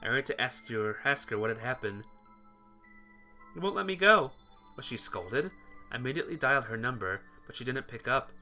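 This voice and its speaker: A man's voice: mid-range voice, a little fast, sharp tone, faint music behind. A man reading visual novel text aloud as dramatic, earnest, emotive story narration for a playthrough.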